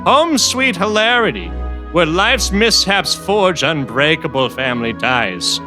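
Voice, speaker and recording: Animated male promo narrator over music. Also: fairly high pitch, a little slow. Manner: theatrical, whimsical trailer delivery. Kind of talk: scripted comedic promo narration.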